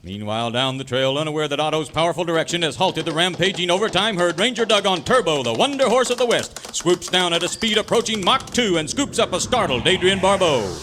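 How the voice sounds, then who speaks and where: An actor playing a grandiose announcer, narrating a parody radio serial in florid, melodramatic style. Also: male voice, sits high, lively intonation, a little fast, hardly any pauses, fairly bright tone, a little hiss or hum. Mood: grandly suspenseful.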